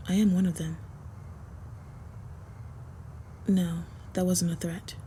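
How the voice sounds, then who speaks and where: Over noticeable background ambience comes a woman playing a female vampire with a lowish, very mellow voice. She is a self-assured, aloof wanderer with a teasing streak, speaking in measured lines as in an intimate roleplay drama. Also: slight background noise, close to an everyday voice. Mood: flirty, teasing. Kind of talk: first-person dramatic roleplay narration.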